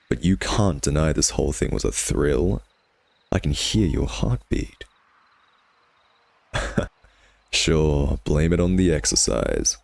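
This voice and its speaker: A man's voice: rumbling low, gravelly, a little mellow, no room sound, heavily acted. A man playing a cocky, sardonic vampire nemesis who teases and taunts the listener in an intimate ASMR roleplay. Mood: exhilarated, teasing.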